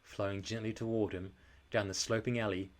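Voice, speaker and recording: A man reading a children's story aloud in a dramatic, expressive and deliberate narration style. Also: fairly low pitch. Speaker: storybook reader.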